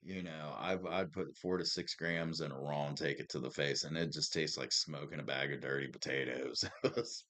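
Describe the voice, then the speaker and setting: A man with a deep smoker's voice chatting casually as a podcast co-host, slangy and laid-back. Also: steady tone, normal speed, hardly any pauses, fairly bright tone. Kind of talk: casual chat.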